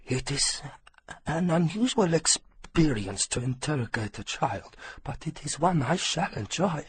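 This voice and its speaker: A man voicing a disfigured male villain who interrogates a boy slowly and coldly, in a husky voice. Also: fairly high pitch, very animated, voice pushed up, full character voice. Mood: menacing. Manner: slow, drawn-out and cold.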